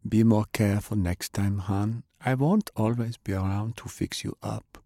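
A man voicing a dainty woman healer who gently admonishes, in an audiobook. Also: fairly low pitch, fairly even intonation, moderate pace, creaky, no room sound, clean studio sound. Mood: gently scolding. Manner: mildly admonishing.

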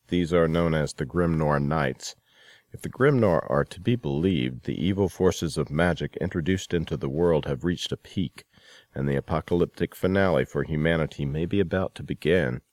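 A man's voice: rumbling low, a little mellow, no echo, clean studio sound. A man hosting a show and reading scripted introductions. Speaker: show host. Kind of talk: radio-style hosting and scripted announcements.

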